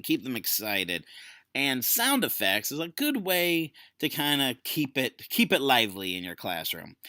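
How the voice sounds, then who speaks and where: A man giving an enthusiastic, emphatic software tutorial in an informal, folksy way, like an educator walking viewers through a demo. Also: mid-range voice, no room sound, studio quality. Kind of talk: screencast tutorial presentation.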